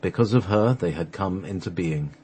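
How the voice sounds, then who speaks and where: A man narrating a sacred text for a radio play, halting at times as he reads from the script. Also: sits low, dark tone, husky. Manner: halting, stumbles over the script and restarts.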